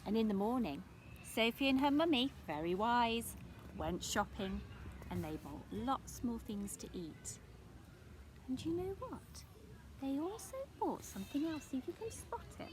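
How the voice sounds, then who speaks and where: Female storyteller for young children, very lively intonation, unhurried, chatty questioning asides, noticeable background noise. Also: a little high. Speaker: storyteller reading a picture book. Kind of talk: expressive read-aloud for young children with asides.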